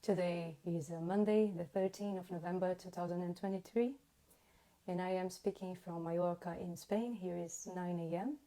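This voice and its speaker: A woman from Brazil with a Brazilian accent, hosting a live online conversation in halting, earnest speech, searching for her words. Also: on the low side, steady tone, warm tone, rough recording. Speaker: host. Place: home in Spain. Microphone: video call.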